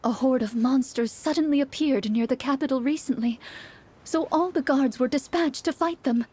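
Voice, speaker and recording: A woman voicing a troubled townswoman, a worried wife who speaks politely, softly and hesitantly. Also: high-pitched, normal speed, a little hiss or hum, pitched above the performer's own voice, natural voice. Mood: anxious, wistful. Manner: hesitant, soft-spoken, polite.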